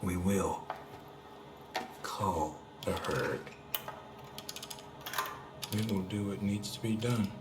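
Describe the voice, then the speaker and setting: An actor playing a cold, fanatical militant leader in a video game, speaking in a measured, solemn way. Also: male voice, low-pitched, echoey room. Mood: cold menace. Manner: measured, solemn, deliberate delivery. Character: menacing middle-aged male militant leader, cold and fanatical. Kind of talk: video game cutscene monologue.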